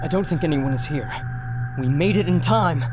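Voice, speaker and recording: A woman's voice: deep voice, animated, moderate pace, music in the background. A woman playing a worried, pleading scholar in an audio adventure drama. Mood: anxious.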